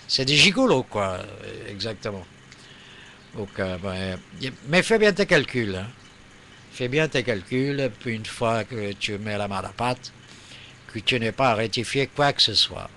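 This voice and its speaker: A man's voice: medium pitch, bright, forceful tone. A man talking casually as an amateur radio operator, explaining and giving advice in colloquial language, his phrases swelling and trailing off. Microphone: radio operator's room microphone, streamed online. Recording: faint background noise.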